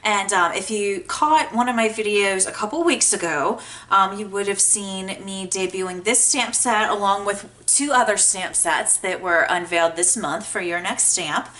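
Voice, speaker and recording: Bright-voiced, chatty woman, a bit congested. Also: medium pitch, normal speed. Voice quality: a little scratchy. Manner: chatty, enthusiastic. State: congested from a sinus infection. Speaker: crafting tutorial host, card maker. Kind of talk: casual how-to craft demonstration.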